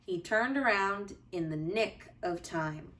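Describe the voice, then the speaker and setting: A woman teacher reading a children's storybook aloud with deliberate, expressive phrasing for young listeners. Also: mid-range voice, far from the mic.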